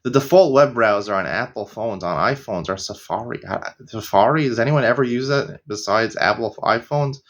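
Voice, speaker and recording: A middle-aged American man teaching a casual daily Talmud lesson, rambling into opinionated asides and often clearing his throat. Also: mid-range voice, moderate pace, hardly any pauses. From United States. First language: English.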